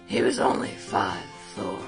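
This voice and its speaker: A woman's voice: rumbling low, wildly swooping pitch, unhurried, gravelly. A woman reading a western story aloud in a casual, chatty way, breaking off to comment on the text, with music behind. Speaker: storytime host.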